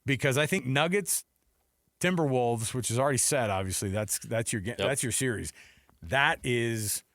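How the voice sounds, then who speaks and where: A man co-hosting a sports radio show with teasing, excitable, conversational banter. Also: mid-range voice, moderate pace, dry recording, clean studio sound.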